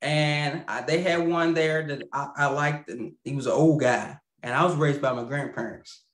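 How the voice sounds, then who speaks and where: A man chats casually in an interview over a video call from his home, rambling and apologizing as he goes, with easy laughs. His voice sits a little high for a man. The room around him is noticeably echoey. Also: normal speed. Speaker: puppeteer guest. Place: home room with a lamp and plant.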